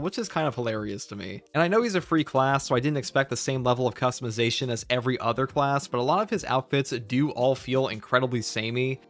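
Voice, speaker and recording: Man, gaming news host, scripted commentary, rapid pace, average pitch, informal, opinionated.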